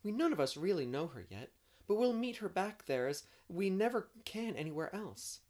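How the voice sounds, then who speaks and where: A dreamy young storybook heroine, voiced by a woman narrator. She talks effusively and poetically, with a very animated melody. Her phrases are emphatic and full of romantic eagerness. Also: mid-range voice, moderate pace, pitched above the performer's own voice. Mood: dreamy, eager. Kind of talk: audiobook character reading.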